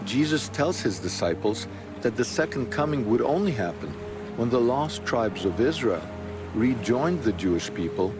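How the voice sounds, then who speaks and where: A man narrating a documentary in a measured, earnest, slightly dramatic scripted delivery. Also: mid-range voice, big pitch swings. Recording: voice-over with music bed.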